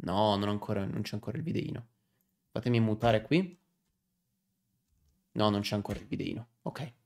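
A man's voice: lowish voice, animated, normal speed, vocal fry, a little mellow. A man, playfully commenting on a game and reading dialogue aloud. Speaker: game streamer. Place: indoor streaming room. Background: game music.

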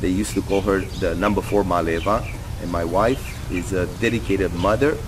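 A man's voice: sits low, normal speed. A middle-aged man from Romania interviewing outdoors in a chatty way, with many fillers and interjections. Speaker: interviewer, producer. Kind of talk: conversational interview. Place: outdoors in a yard before a house. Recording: outdoor recording with birds and ambient noise.

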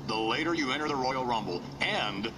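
An actor playing an authoritative middle-aged wrestling boss in a video game, formal and measured, with an American accent. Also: male voice, mid-range voice, expressive, natural voice. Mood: businesslike. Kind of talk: scripted video game cutscene acting. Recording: heavily noisy, distant game capture.

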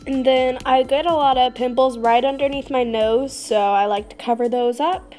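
A woman's voice: sits high, fairly even intonation, normal speed, a little mellow, faint music behind. Scratchy-voiced teen girl rambling through makeup. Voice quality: slightly scratchy voice. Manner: rambling, self-mocking, drifts to a mumble. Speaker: beauty vlogger. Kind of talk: casual makeup tutorial chat. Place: small bedroom by a curtained window.

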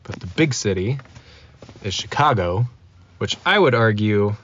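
A man's voice: on the low side, expressive, a little slow, fairly bright tone. A man chatting casually as a guest on a podcast. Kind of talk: casual chat. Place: inside a car. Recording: dull lo-fi, faint noise.

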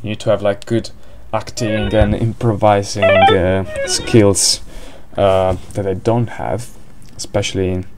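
A man's voice: lowish voice, level tone, unhurried, few pauses, over music. A man with an Italian accent commentating a board game live, hesitant, with many fillers and restarts.

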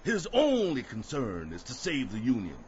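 An actor playing a fervent escaped slave who addresses a crowd in deliberate, emphatic oratory in an audio drama. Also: male voice, medium pitch, wildly swooping pitch, darkish tone. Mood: insistent. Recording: muffled, slightly noisy audio drama recording.

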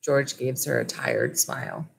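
A woman's voice: a bass voice, wildly swooping pitch, a little slow, gravelly, slight background noise. A woman in her thirties, a school library teacher, reading a storybook aloud and talking informally to children. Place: small indoor room at home with wood-panelled walls. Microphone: webcam or laptop mic at arm's length.

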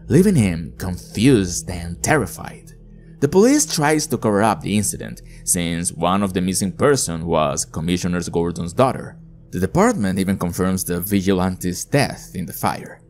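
A male narrator with a low voice delivers a scripted story recap. His intonation is lively and varied as he explains events. Faint music plays behind him. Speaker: video narrator.

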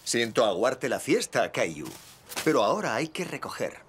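A man playing a patient, playful father in a children's cartoon dub, gentle and good-humoured, with a Castilian Spanish accent. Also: mid-range voice, big pitch swings, moderate pace, background noise, natural voice. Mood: gently firm. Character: patient, playful young dad of a small boy.

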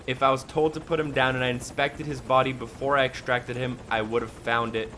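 A man's voice: medium pitch, little pitch movement, fairly quick, bright, forceful tone, a little hiss or hum. A man giving a casual, step-by-step walkthrough commentary as a video game guide narrator. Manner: instructional, step-by-step. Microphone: voice-over on a microphone.

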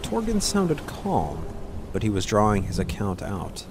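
A man's voice: fairly low pitch, huge pitch swings, measured pace, noisy background. A man giving a scripted narration of a fantasy story as its narrator.

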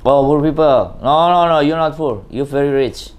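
A woman's voice: low voice, normal speed, darkish tone. A woman with an Indonesian accent interviewing a domestic worker in simple English, laughing often and repeating "wow" and "okay". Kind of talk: casual chat in simple English.

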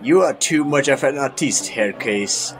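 A man voicing a gruff, grunting bartender in an audiobook, speaking higher and louder than his narration. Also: expressive, unhurried, a crowd in the background. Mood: mocking. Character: grunting ugly male bartender with hoarse voice.

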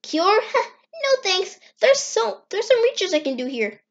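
Woman voicing a squeaky, animated little boy fox. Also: unhurried, dull audio, full character voice. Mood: excited. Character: eager, playful little boy fox grown giant. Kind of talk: amateur comic dub acting.

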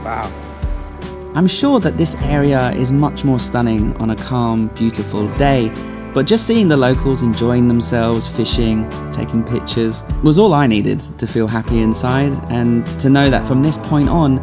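An easygoing man with a voice of middling pitch narrates his road trip in a relaxed, conversational tone. Plucked guitar music plays clearly behind him. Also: measured pace. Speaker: travel vlogger. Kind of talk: travel vlog narration.

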